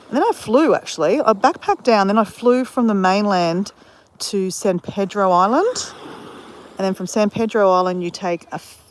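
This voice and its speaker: A woman's voice: mid-range voice, a little hiss or hum. An Australian woman chatting casually while walking on a beach, telling a rambling story full of self-corrections. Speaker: vlogger. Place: outdoors on a beach.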